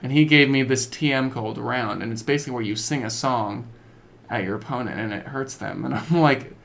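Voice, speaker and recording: A man's voice: mid-range voice, brisk, gravelly, fairly bright tone. A man chatting casually as a podcast co-host about video games, telling stories in slangy, informal language.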